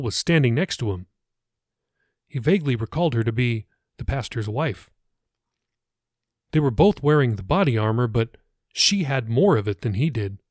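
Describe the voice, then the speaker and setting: A man's voice: medium pitch, no room sound, studio quality. A man reading his own fiction audiobook as a scripted narration.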